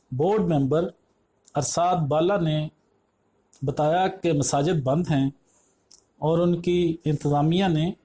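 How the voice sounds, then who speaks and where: Male news narrator, fairly high voice with a soft mellow tone, formal measured delivery. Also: poor audio.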